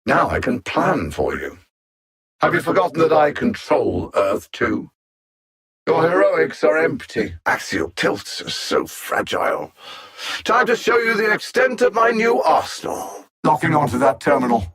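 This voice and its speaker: An actor playing a condescending, coldly calculating alien supervillain in a video game, speaking with haughty, deliberate precision and theatrical mockery. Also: male voice, fairly high pitch, creaky, a bit bright, breathy edge, heavily acted. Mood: imperious command. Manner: haughty, mocking, deliberate and precise, with grand dramatic swoops.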